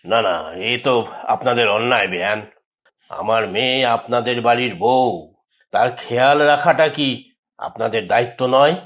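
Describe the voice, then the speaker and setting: A man's voice: medium pitch, normal speed, a bit bright, close to an everyday voice. A man voicing a proud, self-important businessman father in a cartoon, who dotes on his daughter. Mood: reproachful. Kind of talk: Bengali cartoon voice acting.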